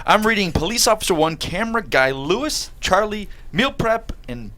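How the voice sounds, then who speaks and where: High-pitched, animated joking man. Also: normal speed. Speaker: cast actor. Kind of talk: casual round-table introduction.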